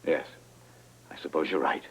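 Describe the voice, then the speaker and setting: An actor playing an enigmatic doctor who speaks slowly and softly in a measured way. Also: male voice, slight background noise, natural voice, low-quality recording. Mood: calm. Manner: slow, measured, soft. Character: calm, enigmatic male doctor. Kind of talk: 1980s TV soap acting.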